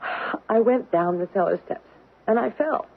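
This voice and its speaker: An actress playing a frightened, fretful housewife in a vintage radio drama, speaking in a nervous, halting way. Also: female voice, mid-range voice, creaky. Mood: anxious. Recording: narrow-band old radio broadcast.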